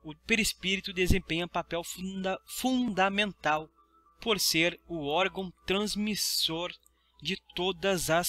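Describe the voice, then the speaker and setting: A man's voice: sits high, sharp tone, no room sound. A Brazilian man teaching a study lesson in a didactic way, reading aloud and explaining. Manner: didactic, checks understanding with tag questions.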